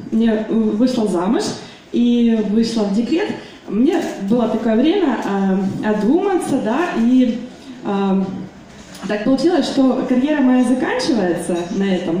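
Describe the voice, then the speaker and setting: Woman in her twenties, mellow raised voice, hesitant speech full of fillers, taped from afar in an echoey hall. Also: on the high side, distant mic, a little hiss or hum. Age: 20s. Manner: hesitant, many fillers and restarts. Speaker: business meeting speaker. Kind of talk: public speech at a meeting. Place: meeting hall.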